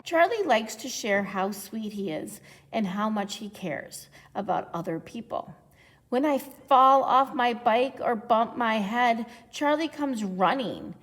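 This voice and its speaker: A woman reading a children's picture book aloud with deliberate, expressive phrasing. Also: on the high side. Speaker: storybook reader. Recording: echoey, long reverb.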